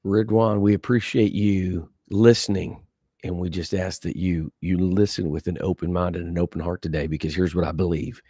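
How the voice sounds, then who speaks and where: Deep-voiced man hosting a podcast. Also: fairly even intonation, moderate pace, warm tone, slightly rough, no echo, clean studio sound. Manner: upbeat, friendly banter. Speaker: podcast host. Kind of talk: casual podcast chat.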